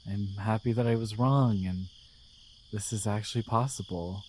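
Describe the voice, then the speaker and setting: A man voicing a devoted, gentle guardian angel in an intimate romantic ASMR roleplay, speaking softly with tender, hesitant care. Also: on the low side, moderate pace, slight background noise, lightly acted. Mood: caring, soothing. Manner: soft, tender, hesitant, soothing. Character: devoted, gentle male guardian angel in love with their human.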